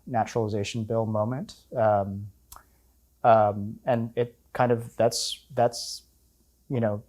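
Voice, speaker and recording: A man of about fifty telling his family story in an oral history interview, relaxed and full of fillers and restarts. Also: lowish voice. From United States. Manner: relaxed, many fillers, self-correcting.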